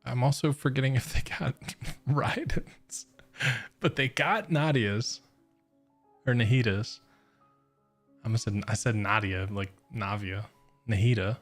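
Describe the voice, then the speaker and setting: Slow-talking, laid-back male streamer. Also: mid-range voice, faint music behind. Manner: laid-back, rambling, jokey. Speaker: game streamer. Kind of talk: casual live gameplay commentary.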